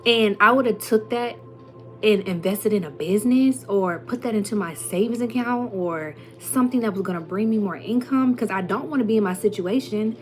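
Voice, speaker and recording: Young woman hyping up her viewers, slangy and emphatic, soft music behind. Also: medium pitch, normal speed. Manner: chatty, emphatic, slangy, acts out little voices. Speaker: spiritual content creator. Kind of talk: casual motivational talk to viewers.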